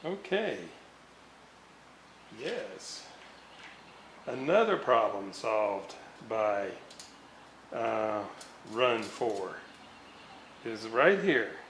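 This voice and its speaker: A man giving an unscripted hands-on demonstration at a workbench, halting and muttering to himself as he works. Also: lowish voice, very animated, fairly bright tone. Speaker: radio restorer. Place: indoor room, at a workbench. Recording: roomy, noisy home recording.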